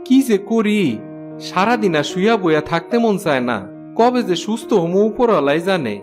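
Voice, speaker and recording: Ailing cartoon village dad, loving and downhearted, high male voice, Bangladeshi accent, faint music underneath. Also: lively intonation, brisk. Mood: weary, resigned. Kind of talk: Bengali fairy tale cartoon dubbing.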